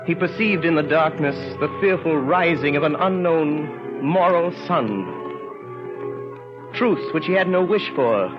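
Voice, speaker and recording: A man narrating a literary radio drama of the 1930s in a grave, theatrical manner with measured phrasing. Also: a little high, music in the background. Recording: muffled 1930s radio recording.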